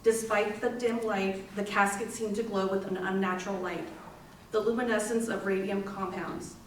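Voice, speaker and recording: A woman's voice: medium pitch, steady tone, normal speed, close to an everyday voice. A woman playing a grieving sister, telling her story as a readers theater monologue. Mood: solemn. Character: grieving sister recounting an exhumation. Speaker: community theatre actor. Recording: echoey room, distant mic, faint noise.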